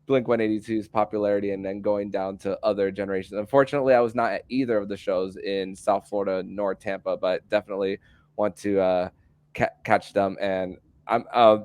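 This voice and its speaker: Male host in his thirties, low pitch, dry close sound, halting casual delivery. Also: steady tone, a little mellow, dry recording. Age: 30s. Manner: halting, repeats words while asking questions. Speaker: podcast host. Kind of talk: casual podcast chat.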